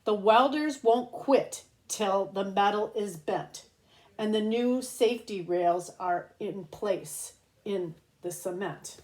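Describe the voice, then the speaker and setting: A woman reads a picture book aloud in the manner of a preschool teacher. Her delivery is slow and expressive, pitched for small children, with an average-pitched voice. She pauses to point things out and explain words simply. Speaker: pre-kindergarten teacher reading aloud.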